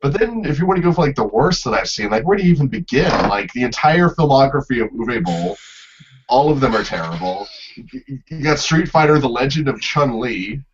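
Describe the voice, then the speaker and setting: A man's voice: mid-range voice, lively intonation, brisk, breathy edge. A man chatting casually as a panelist on a podcast, recorded in dull, lo-fi audio. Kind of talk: casual chat.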